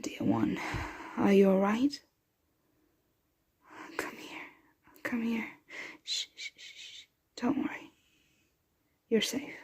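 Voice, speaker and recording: Woman playing a breathy, whispering vampire matriarch. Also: fairly high pitch, darkish tone, full character voice. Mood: soothing, protective. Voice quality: breathy, often dropping into a whisper. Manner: slow, intimate, soothing address to a dear one. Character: possessive, protective, motherly female vampire, calm and commanding. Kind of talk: ASMR roleplay.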